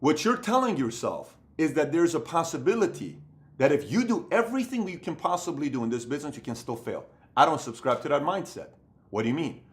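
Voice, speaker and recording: A man's voice: a little high, big pitch swings, a little fast. A man in his thirties giving an emphatic, repetitive motivational business talk to camera, seated in a room with bookshelves. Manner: emphatic, repetitive, acts out other people's voices. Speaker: business coach.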